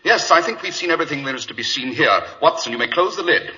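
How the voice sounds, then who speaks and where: A very sharp, piercing detective, shrewd and dryly commanding. His delivery is precise and lively in pitch, in old radio-play style. The recording is vintage and dull. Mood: brisk, probing. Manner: measured, precise, authoritative. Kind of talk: old-time radio drama acting.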